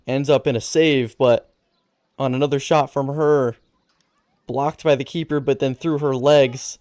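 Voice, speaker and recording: A man's voice: mid-range voice, fairly even intonation, unhurried, darkish tone, no room sound, clean studio sound. A man giving sports commentary as a match announcer.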